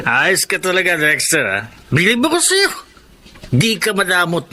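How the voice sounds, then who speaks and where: A boisterous young Filipino drinking pal in a radio drama. His voice is raised, extremely sharp and forceful, bouncing up and down. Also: sits high, wildly swooping pitch, unhurried, heavily acted. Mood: jovial, teasing.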